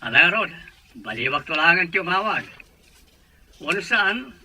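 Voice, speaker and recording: Man acting a sharp, high-voiced concerned relative. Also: sing-song, measured pace, slightly rough, full character voice. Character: concerned male relative calling about the children. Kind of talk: Ilocano radio drama acting.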